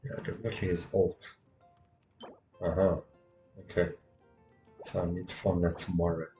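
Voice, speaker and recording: A man's voice: low voice, little pitch movement, normal speed. A man giving casual live gameplay commentary, muttering to himself and often repeating words. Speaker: game streamer. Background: game music.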